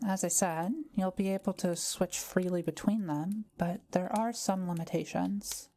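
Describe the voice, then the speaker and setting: Woman as a gentle witch, mellow and low-voiced. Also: steady tone. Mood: calm, explanatory. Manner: gentle, measured, explanatory. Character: kind, soft-spoken forest witch, calm and scholarly. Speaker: audio roleplay performer. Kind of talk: intimate audio roleplay, conversational.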